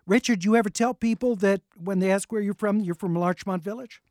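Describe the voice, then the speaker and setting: A man's voice: high voice, a little fast, a little mellow, dry recording, studio quality. A man hosting a radio call-in show, chatting casually and reading listener comments aloud. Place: radio studio. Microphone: studio microphone.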